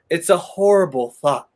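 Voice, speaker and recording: A man playing a sardonic, slow-talking radio hero. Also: fairly high pitch, darkish tone. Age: young adult. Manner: sardonic, theatrical. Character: wry, weary man on the run, bickering romantic hero. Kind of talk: 1930s radio play acting.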